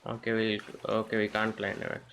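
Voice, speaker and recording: A man's voice: on the low side, monotone, moderate pace, vocal fry, a bit bright, slight background noise. A man giving casual live gameplay commentary as a streamer, thinking aloud in a rambling way and repeating phrases. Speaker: game streamer. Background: game music and character voices.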